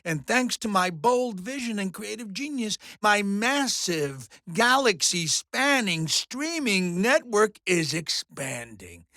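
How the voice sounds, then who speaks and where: A man's voice: sits high, sing-song, takes it slowly, few pauses, sharp tone, dry recording, clean studio sound, full character voice. A man voicing a pompous, sleazy alien media mogul in a video game, with hammy, theatrical showman delivery. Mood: grandiose welcome. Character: bombastic, sleazy alien showbiz mogul, male, crude and bullying.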